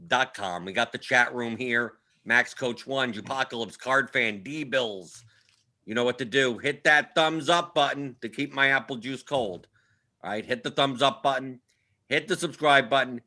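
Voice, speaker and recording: A man's voice: mid-range voice, bright, forceful tone. A man in his early forties hosting a casual livestream sports show, repeating himself and tagging sentences with "right?" in a self-deprecating, complaining way. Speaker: show host. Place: indoors at a computer on a split-screen video call.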